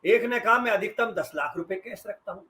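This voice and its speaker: A man's voice: high voice, a little fast, bright, forceful tone. A middle-aged man gives sarcastic, emphatic political commentary as a journalist on a debate panel. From western Uttar Pradesh, India.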